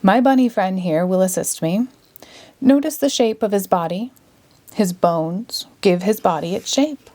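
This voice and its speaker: A woman voicing a cheerful, grandiose male stage magician in a storybook, with theatrical, drawn-out delivery. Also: mid-range voice, animated, mellow, close to an everyday voice. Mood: showy.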